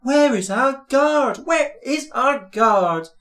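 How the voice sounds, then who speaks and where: A man's voice: extremely high pitch, animated, slow, a bit bright, voice pushed up, exaggerated voice. A man voicing anxious old female railway coaches in a children's storybook, with sing-song, repeated cries. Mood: sobbing, distressed. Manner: sing-song, wailing, repetitive.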